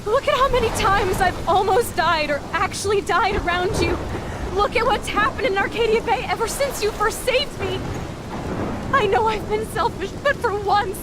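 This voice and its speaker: A woman's voice: very high-pitched, normal speed, a loud crowd. An actress playing a tough, loving young punk woman with an American accent, blunt and earnest in an emotional video game drama. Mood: pained, resolute. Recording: loud storm, rain and thunder behind. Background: rain, thunder, wind.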